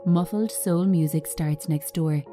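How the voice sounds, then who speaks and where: A woman giving a scripted narration of screenplay directions in a measured, understated manner. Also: on the low side, warm tone, soft background music.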